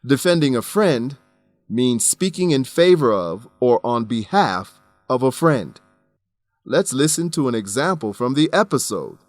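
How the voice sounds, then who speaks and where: A man presenting an English lesson in scripted narration, with a measured, didactic delivery. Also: medium pitch, big pitch swings, no echo, faint music behind.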